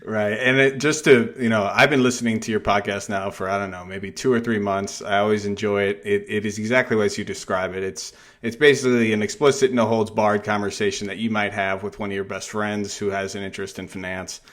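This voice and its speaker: Lower-pitched male podcast interviewer. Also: fairly even intonation, brisk, few pauses, a bit bright. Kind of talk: casual conversational interview.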